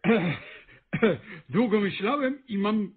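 An actor in a radio play voicing a nervous, earnest stationmaster with lofty ambitions, stammering and husky. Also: male voice, high voice, lively intonation, unhurried, exaggerated voice. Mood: eager, nervous. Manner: stammering, hesitant, eager, solemn. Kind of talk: Polish radio drama acting. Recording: muffled, band-limited radio recording.

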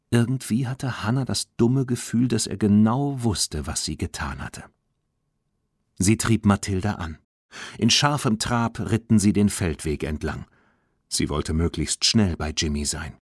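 A man reading a children's audiobook as an expressive, clearly enunciated scripted narration. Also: on the low side, a little slow, dry recording, clean studio sound.

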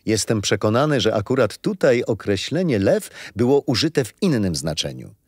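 A man's voice: lowish voice, big pitch swings, normal speed, breathy edge, dry recording, studio quality, heavily acted. An actor playing a kindly, patient old grandfather who tells history to his grandchildren in a children's radio play. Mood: storytelling, amused. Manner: gentle storyteller, explaining patiently.

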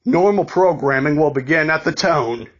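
A man reads a scripted emergency broadcast announcement in a formal, deliberate way, halting and repeating words, like an amateur. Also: a little high, lively intonation, breathy edge, lo-fi sound.

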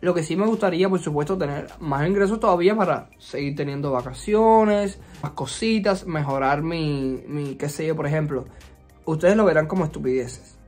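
High-voiced chatty Cuban man. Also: moderate pace, soft background music. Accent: Cuban Spanish. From Cuba. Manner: chatty and expressive, frequent fillers, playful sass. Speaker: YouTuber. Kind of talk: casual storytime vlog. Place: resort vacation rental.

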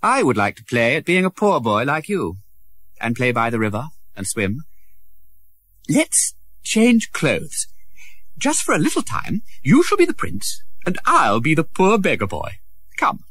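Man doing a boy prince, proud, commanding, high and eager, very lively pitch. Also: a little slow, dry recording, full character voice. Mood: curious.

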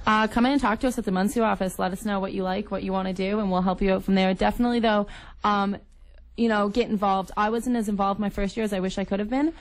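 A woman's voice: mid-range voice, steady tone, fast. A young adult woman speaking casually as an interview guest, explaining things for students. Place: radio studio.

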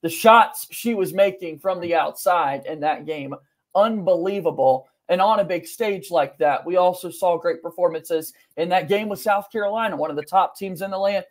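A young man with a noticeably high voice hosts a sports podcast. He speaks in a conversational presenting style from a room at home. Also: normal speed. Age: young adult. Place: small home room, indoors.

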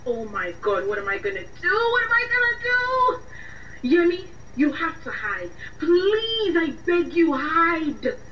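A very high, pleading young woman's voice, acted by a woman with a Nigerian accent. She is panicky and breathless in a radio drama, heard a little far from a muffled mic. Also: sing-song, slow pace, full character voice. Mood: panicked, pleading. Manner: breathless, pleading, repeating herself. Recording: muffled distant mic with faint noise.

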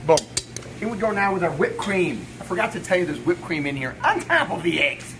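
A man's voice: high voice, big pitch swings, fairly quick, music in the background. A middle-aged man giving a casual cooking demonstration, chatty and emphatic, often checking in with the viewer. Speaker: chef presenting a recipe video. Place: indoor commercial kitchen or sandwich shop. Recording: clear background noise in working kitchen.

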